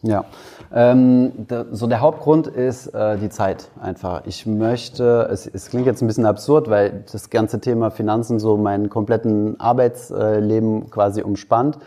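A man's voice: lowish voice, fairly even intonation, unhurried, dark tone. A young man introducing himself on a school panel, talking casually and hesitantly with many fillers. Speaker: panelist, finance YouTuber.

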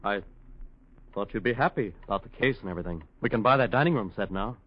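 Low-voiced young lawyer, old radio drama. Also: male voice, animated, fast. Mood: uneasy. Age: young adult. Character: confident, defensive young male defense lawyer. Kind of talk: 1940s radio drama acting. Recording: dull old radio recording.

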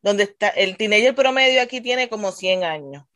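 A woman with a Puerto Rican accent, a teacher, chatting casually and telling animated stories, dropping English words into her talk. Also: mid-range voice, moderate pace, no echo, clean studio sound. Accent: Puerto Rican Spanish. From Puerto Rico. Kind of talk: casual chat.

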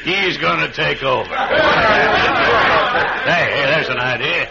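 Through loud noise on an old radio recording comes a bullying outlaw henchman. His American Western drawl swings widely in pitch, folksy and taunting, in classic radio western style. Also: sing-song, slow, creaky, muffled recording, a loud crowd, full character voice. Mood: gloating, menacing.

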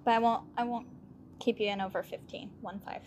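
A woman's voice: on the high side, steady tone, a little fast, darkish tone, slight background noise. An American woman talking casually outdoors as she hosts a ghost hunt. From United States. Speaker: paranormal investigator and host. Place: outdoors in a park.

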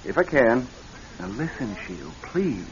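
An actor playing a restless, defensive husband who skydives, in a radio drama style, brushing off worry impatiently. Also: male voice, mid-range voice, very animated, unhurried. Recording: muffled radio drama, faint noise.

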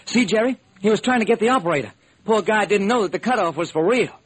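A man's voice: high-pitched, lively intonation, quick pace, a bit bright, husky, full character voice. An actor playing a plain-spoken, skeptical male police lieutenant in a classic radio crime drama. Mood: skeptical, excited.

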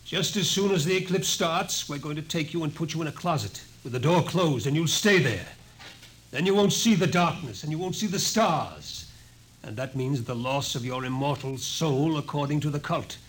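An actor playing a cool, authoritative scientist who explains and gives orders in an old-time radio drama. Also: male voice, a little high, animated, moderate pace, natural voice. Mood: commanding, stern. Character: cool, commanding male scientist at an observatory. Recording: hissy old radio show recording.

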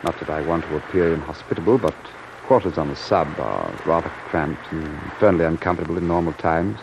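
An actor playing a genial, frank British submarine commander with hearty officer's slang in an old-time radio drama. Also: male voice, a bass voice, fairly even intonation, brisk. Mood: frank, confiding. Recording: muffled, noisy old radio recording.

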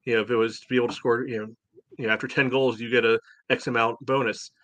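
A man's voice: mid-range voice, little pitch movement, fast talker, fairly bright tone, no room sound. Emphatic, blunt man on a sports podcast. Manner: frequent "you know" filler. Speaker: soccer podcast host. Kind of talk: opinionated sports podcast discussion.

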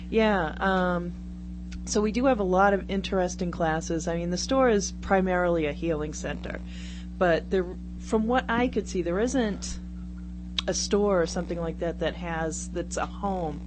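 Woman, radio guest, somewhat low pitch, casual conversational delivery, noisy humming studio audio. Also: unhurried. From Brockton, Massachusetts, United States. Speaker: guest, paranormal class organizer at a store. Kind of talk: casual interview chat.

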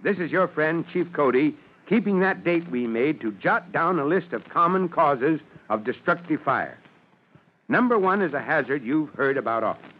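An authoritative fire chief in an old radio drama, with a fairly high voice. He explains with avuncular patience. The sound is dull and vintage. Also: lively intonation, normal speed. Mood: earnest instruction.

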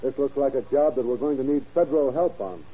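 An actor playing a tough, wisecracking police captain in 1930s radio crime drama, brusque, slangy and commanding. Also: male voice, medium pitch, quick pace, slight background noise, close to an everyday voice. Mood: stern, pressing. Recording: muffled, lo-fi old radio recording.

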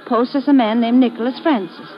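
High-voiced evasive woman acted, old radio. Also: fairly even intonation, fairly quick, soft background music, lightly acted. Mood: reluctant, confessing. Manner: hesitant, careful formal phrasing. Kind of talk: old-time radio drama acting. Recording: dull old radio recording.

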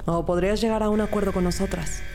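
A woman playing a wary, steely police detective who narrates her case, grave, measured and direct. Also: medium pitch, fairly even intonation, lightly acted. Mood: probing, steely. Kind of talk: dramatic first-person narration and dialogue. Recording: music bed under the voice.

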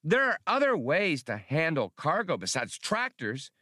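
A man voicing a small, helpful droid computer with a high-pitched, chirpy voice in a sci-fi audiobook. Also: wildly swooping pitch, a little slow, sharp tone, no echo, clean studio sound, exaggerated voice.

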